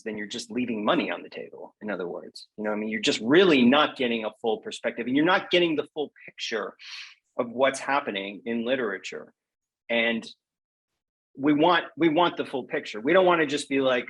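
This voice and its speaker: A man talks as a guest in a conversational podcast interview. He sounds earnest and emphatic, often restating a phrase to drive it home, with a medium-pitched voice. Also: a bit bright, slightly rough, rough recording. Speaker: interview guest, poet and prize judge.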